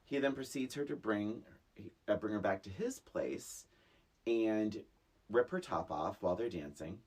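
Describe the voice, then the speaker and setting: A woman co-hosting a podcast, casually discussing a film in a halting, conversational way and acting out lines she quotes. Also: very deep voice, darkish tone, slightly rough. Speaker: podcast co-host.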